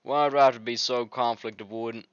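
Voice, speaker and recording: A man's voice: medium pitch, steady tone, fast talker, no room sound, highs cut off, lightly acted. A man voicing an earnest, self-critical schoolgirl club president in a fretful, hesitant way for a video game. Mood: self-reproachful. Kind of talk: video game fan voice-over.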